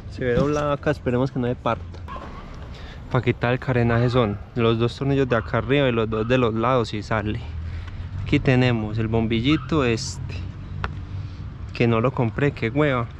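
A man's voice: mid-range voice, dull audio. A man, chatting casually to viewers as he fits parts to a motorcycle outdoors. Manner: relaxed, chatty, addresses viewers as "gente". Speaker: motovlog host. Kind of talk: casual how-to narration. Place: outdoor parking lot. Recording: music playing behind.

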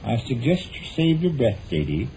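An actor playing a stubborn, long-suffering husband with dry, pompous formality in a 1940s radio comedy. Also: male voice, mid-range voice, very animated, normal speed, mellow. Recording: muffled, noisy old 1940s radio broadcast.

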